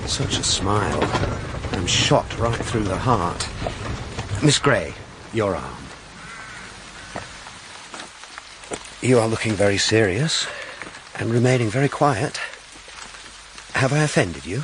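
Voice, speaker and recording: A man's voice: lowish voice, expressive, unhurried, long pauses, a bit bright. An actor playing a courteous, wry young country clergyman in a period audio drama. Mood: teasing. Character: gallant, teasing, earnest country clergyman. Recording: rain noise behind the voice.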